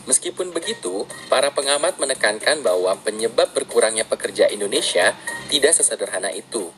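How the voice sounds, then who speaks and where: A man reading scripted news narration in an even, formal delivery. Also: medium pitch, fairly quick, faint music behind, low-quality recording.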